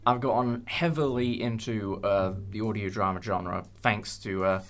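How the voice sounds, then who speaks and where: A young man chatting casually in an interview, hesitant and rambling with many pauses. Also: lowish voice, steady tone. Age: young adult. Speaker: creator of a fan audio drama. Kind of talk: casual behind-the-scenes interview. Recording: light music bed under speech. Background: background music with guitar.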